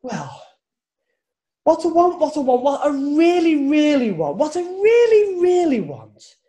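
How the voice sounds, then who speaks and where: A man's voice: very high-pitched, big pitch swings, a little slow, dark tone, exaggerated voice. A British man playing an exasperated, defensive masked superhero in a comic radio play, protesting melodramatically. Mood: pleading.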